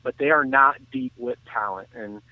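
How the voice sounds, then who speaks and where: Heard through a thin, phone-like connection with no room sound, a man talks sports. His voice sits at an average pitch, and he analyses casually, restarting phrases as he goes. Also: normal speed, no room sound. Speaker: sports talk commentator. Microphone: phone call. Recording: thin, tinny phone line.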